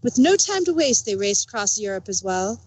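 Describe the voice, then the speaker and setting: Woman reading a rhyming bedtime-style story, normal pitch, expressive, slight noise in the recording. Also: moderate pace. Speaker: narrator. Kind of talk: rhyming storybook narration of fan fiction.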